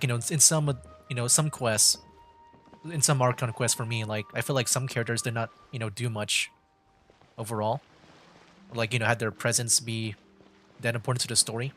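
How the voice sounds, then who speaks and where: A man giving casual livestream game commentary in a rambling, halting, easygoing way. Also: medium pitch, a bit bright. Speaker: streamer.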